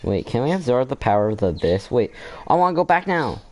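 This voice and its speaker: A man's voice: medium pitch, big pitch swings, quick pace, darkish tone, a little hiss or hum. A man giving casual, off-the-cuff commentary while he plays a video game. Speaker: gamer, channel host.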